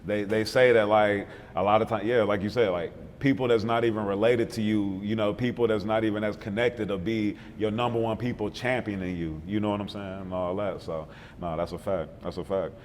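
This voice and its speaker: A man interviewing a guest in a relaxed way, agreeing often and talking in a loose, filler-heavy flow. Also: lowish voice, a little fast, few pauses, in a large hall, slight background noise. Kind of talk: casual interview talk.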